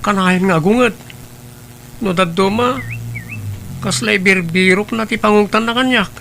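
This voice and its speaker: A man's voice: high voice, normal speed, gravelly, fairly bright tone, a little hiss or hum. A man playing a polite, wistful schoolboy in an audio drama, with a Filipino accent and a respectful manner. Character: polite schoolboy, wistful and neglected by his mother.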